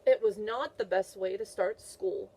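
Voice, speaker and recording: A woman's voice: mid-range voice, unhurried, darkish tone, breathy edge, poor audio. A woman teacher reading a picture book aloud to young children, repeating questions and answers in an encouraging way. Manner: encouraging, praising the listener.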